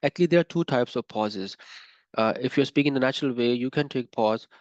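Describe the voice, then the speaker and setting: A man's voice: medium pitch, a little fast, no room sound. A man with a Pakistani English accent leads an online exam-prep class, explaining patiently with plenty of examples. His voice comes through a dull, lo-fi video call with a dry, close sound. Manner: explanatory, uses fillers and examples. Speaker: IELTS instructor. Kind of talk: online webinar lesson.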